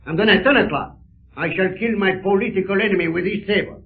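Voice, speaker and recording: Casting: swaggering Spanish duelist villain, high male voice, menacing and pompous, old narrow radio sound. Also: fast. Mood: boastful, taunting. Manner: pompous, taunting, formal speech. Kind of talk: 1930s radio serial acting. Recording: scratchy narrow-band 1930s radio recording.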